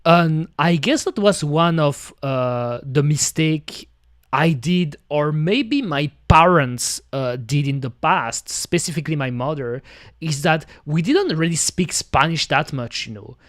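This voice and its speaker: Lively Belgian-French-accented man chatting slowly. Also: a little high, no echo, clean studio sound. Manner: rambling, many fillers. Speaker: streamer. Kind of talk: casual chat.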